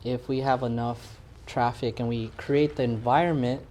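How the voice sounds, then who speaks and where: Young male guest, earnest and halting, everyday mid-range voice. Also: mid-range voice, steady tone, a little mellow, slight background noise. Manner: earnest, halting with fillers and restarts. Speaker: community organization guest. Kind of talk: casual interview chat.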